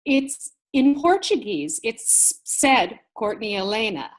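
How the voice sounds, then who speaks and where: A woman's voice: fairly high pitch, sing-song, slow pace. An American woman, a gardening blogger, chatting as a guest on an interview and telling stories with light laughs. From United States. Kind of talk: casual interview chat.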